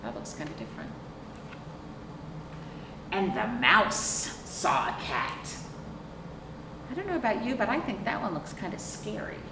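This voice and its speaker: Middle-aged female storytime reader, expressive and unhurried, questioning young listeners; recorded at a distance in a noisy room. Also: mid-range voice, echoey room. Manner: deliberate, explanatory, asks rhetorical questions. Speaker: children's librarian hosting storytime. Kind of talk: picture book read-aloud with questions to young children. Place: indoor room with sofa. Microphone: camera a few feet away.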